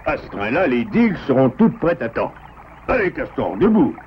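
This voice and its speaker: A man voicing an earnest adult beaver who leads others in a measured, formal, authoritative way in a French cartoon dub. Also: medium pitch, sing-song, slight background noise, natural voice. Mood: firm, resolute. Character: earnest adult male beaver, a responsible father-like leader. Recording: muffled cartoon soundtrack, loud music.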